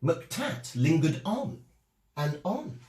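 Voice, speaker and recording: A man narrates a rhyming children's story with great expression. His fairly high voice rises and falls widely at an unhurried pace, in an echoey room. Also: big pitch swings, a little mellow, slight background noise.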